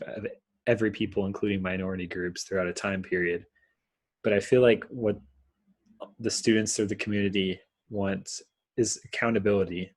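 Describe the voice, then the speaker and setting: A man's voice: deep voice, husky. A young man speaking earnestly as a student representative at a board meeting over a video call. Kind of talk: spoken remarks at a board meeting.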